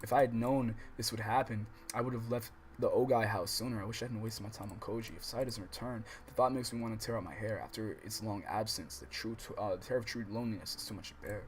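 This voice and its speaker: A man's voice: fairly low pitch, fairly even intonation, quick pace, few pauses. Slangy American man livestreaming, with background noise. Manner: slangy, profane, stumbles while reading. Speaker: livestream host. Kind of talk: casual let's-play, reading visual novel text aloud and reacting.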